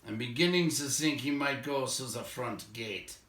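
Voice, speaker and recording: A man's voice: mid-range voice, normal speed, a bit bright, close to an everyday voice. A man voicing a discontented male dwarf in a storybook, complaining emphatically with sarcastic impatience. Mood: impatient, complaining. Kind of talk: storybook character voice. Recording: roomy echo, distant microphone.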